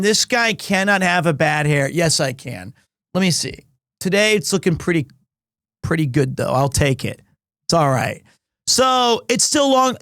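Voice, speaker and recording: Loud, high-voiced man streaming. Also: no room sound, studio quality. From United States. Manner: loud, big voice, rambling with many restarts. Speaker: livestreamer. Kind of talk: casual chat with stream viewers. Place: home stream room in an apartment.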